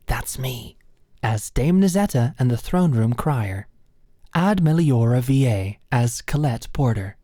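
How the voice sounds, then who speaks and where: A woman with a low voice reads scripted closing credits as the show's creator. The recording is close and dry. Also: dark tone, no echo. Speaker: show creator reading the end credits. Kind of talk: scripted outro narration.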